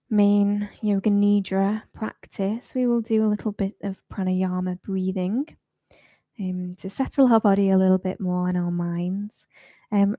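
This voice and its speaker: A woman teaching yoga nidra, leading a guided relaxation in a soft, soothing, informal way. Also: mid-range voice, no echo, highs cut off.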